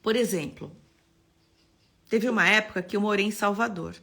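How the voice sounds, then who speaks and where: A woman from São Paulo with a Paulista accent, an architect teaching an expressive live-stream class. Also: medium pitch, moderate pace, vocal fry. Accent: Paulista Brazilian Portuguese. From São Paulo, Brazil. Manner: expressive, greets viewers by name.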